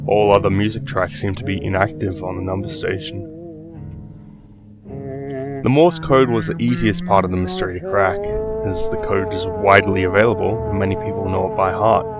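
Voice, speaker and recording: Low-voiced man narrating over guitar music. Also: moderate pace. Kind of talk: scripted horror story narration.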